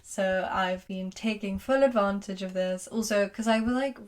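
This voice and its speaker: A woman's voice: medium pitch, normal speed. A woman co-hosting a podcast over a remote connection, leading the topics in a breezy, giggly way. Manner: breezy, giggly, leads the segments. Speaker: podcast co-host. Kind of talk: casual chat. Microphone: remote call setup.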